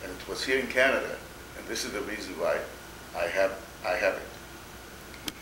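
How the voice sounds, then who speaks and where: An older man from Poland giving oral history testimony in a slow, halting way, often repeating words. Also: low-pitched. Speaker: interviewee, Holocaust survivor. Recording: echoey room with background noise.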